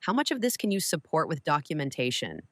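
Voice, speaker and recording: A woman playing a shrewd, composed older widow and mentor in an audio drama, speaking with deliberate authority. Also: low-pitched, big pitch swings, a bit bright, no room sound. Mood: probing, grave.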